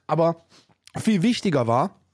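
A man chatting comically on a podcast, halting and rambling, dropping in bits of English. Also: fairly high pitch, sing-song, a little mellow, dry recording, studio quality. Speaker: podcast co-host. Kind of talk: casual comedic podcast banter.

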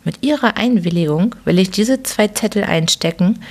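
A woman voicing a calm, incisive detective who reasons in a measured, dryly ironic way in an audiobook. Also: medium pitch. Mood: deliberate. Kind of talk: audiobook character reading.

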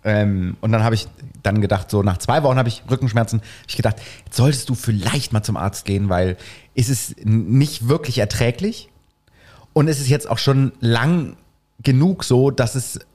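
German-speaking man telling a lively story. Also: mid-range voice, moderate pace. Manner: animated anecdote-telling with acted-out quotes. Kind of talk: casual storytelling chat.

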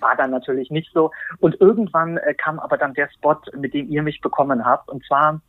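A man's voice: on the high side, brisk, dry recording. Man in his forties on a tinny line. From Berlin, Germany. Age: 40s. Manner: hesitant, many fillers and restarts. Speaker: interview guest, coaching client. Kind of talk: casual interview answers. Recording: dry, tinny phone-like line.

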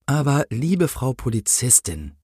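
A man's voice: mid-range voice, sing-song, moderate pace, a little mellow, slightly rough, dry recording, studio quality. A man voicing a pompous, easily indignant Christmas angel in a comic story, speaking in a solemn, preachy, formal way. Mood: solemn, patient.

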